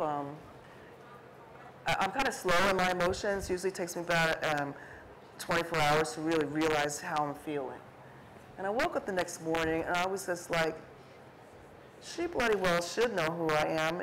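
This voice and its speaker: A woman's voice: low voice, a little hiss or hum, rough recording. Chatty middle-aged woman on a panel stage. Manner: relaxed and chatty, many fillers and restarts, acts out quoted remarks. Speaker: artist speaking on a panel. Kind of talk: conversational talk on stage. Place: stage at an art fair talk, indoors before an audience.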